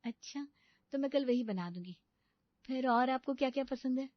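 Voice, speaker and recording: A woman playing a sweet, eager young bride in an animated comedy story, speaking gently. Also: high-pitched, normal speed, no echo, lightly acted. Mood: sweet, curious. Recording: dull, lo-fi studio voice-over.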